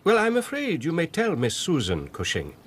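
An actor playing a stern, guarded Victorian physician in a radio drama, speaking formally and with measured dismissiveness. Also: male voice, fairly high pitch, wildly swooping pitch, slight background noise. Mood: guarded, dismissive. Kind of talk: British radio drama acting.

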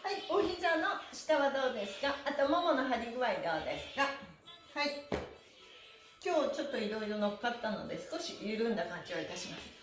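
A woman's voice: on the high side, lively intonation, a little fast. A middle-aged woman hosting a livestreamed stretching lesson, with a cheerful, chatty manner and sing-song counting. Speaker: stretching class host, mime instructor. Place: small bare indoor room. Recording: echoey room with music.

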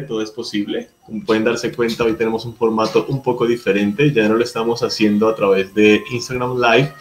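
Young guy host on a video call at home, friendly, lowish voice. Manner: friendly, upbeat, with filler words. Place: home room.

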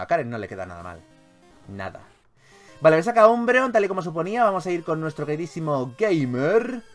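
Spanish guy from spain doing a casual lets play, kinda high voice, super animated, swears a lot. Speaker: gaming video host. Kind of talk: casual gameplay commentary.

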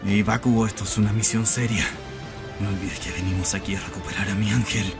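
A man voicing a serious, restrained teenage boy anime hero with a measured, earnest manner. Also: on the low side, little pitch movement, over loud music, pitched below the performer's own voice, natural voice. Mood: stern.

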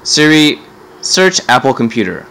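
A man's voice: medium pitch, big pitch swings, unhurried, fairly bright tone, slight background noise, rough recording. A man giving a casual hands-on gadget demo as a reviewer, offhand and sardonic, swearing and sounding exasperated.

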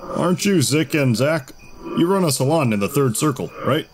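Casting: man as cheeky, arrogant demon prince, very animated, theatrical podcast drama, cavernous echo with music behind. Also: a little high, normal speed, noisy background, close to an everyday voice. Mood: casual, confident. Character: flamboyant, arrogant male demon prince of lust. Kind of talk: audio fiction podcast acting. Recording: echoing cave reverb with music behind.

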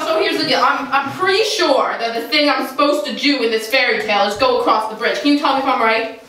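A woman's voice: high-pitched, fast talker, bright, forceful tone. A young woman performing an improvised comedy scene in a hesitant, giggly way. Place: large carpeted basement room indoors. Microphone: distant camera microphone. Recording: echoey, noisy room recording from far off.

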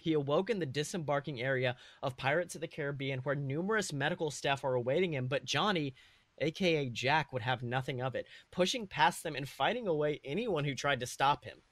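A man's voice: on the high side, lively intonation, a little fast, a bit bright, no echo. A man co-hosting a podcast, reading and discussing his own comic fan fiction. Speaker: podcast co-host.

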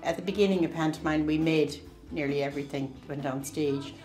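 Low-voiced older woman, roomy sound. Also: steady tone, a little fast, darkish tone. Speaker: pantomime wardrobe mistress. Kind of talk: casual reminiscence. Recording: roomy echo, clear music behind.

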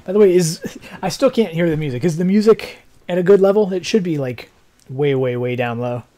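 A young adult man who streams games, chatting casually in a rambling, technical way, with a slightly hoarse voice. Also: on the high side, a little fast, warm tone. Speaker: game streamer. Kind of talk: casual livestream chat. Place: living room, seated in armchair indoors.

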